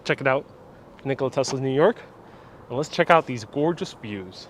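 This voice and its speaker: A man's voice: medium pitch, expressive, moderate pace, a little hiss or hum. A man from Puerto Rico hosting a casual live-streamed tour of a sculpture park, chatting and reading viewer comments aloud. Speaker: vlog host. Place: outdoors in a large sculpture park. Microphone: phone on gimbal with wireless mic.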